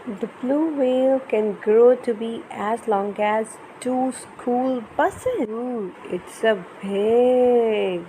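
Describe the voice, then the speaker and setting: Slow, high-voiced woman reading to children. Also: dark tone, slight background noise, low-quality recording. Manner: teacherly, addresses children, asks questions, exclaims. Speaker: host reading to young children. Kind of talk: children's picture book read-aloud.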